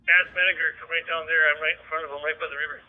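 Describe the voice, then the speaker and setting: A man's voice: a little high, level tone, quick pace. A male police officer in the field, talking in radio traffic over a police radio. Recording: noisy, narrow-band field police radio.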